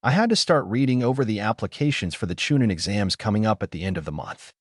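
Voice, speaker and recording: Low-voiced man narrating a story. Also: lively intonation, fast talker, no room sound, studio quality. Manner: earnest, reflective first-person narration. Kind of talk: first-person fan fiction reading.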